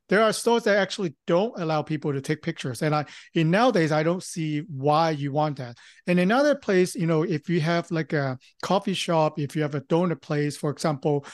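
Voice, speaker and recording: A man from Hong Kong, a Cantonese native speaker, talking casually as a podcast guest, often pausing and restarting. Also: on the high side, no room sound, clean studio sound. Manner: halting, many fillers and restarts. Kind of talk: casual interview talk.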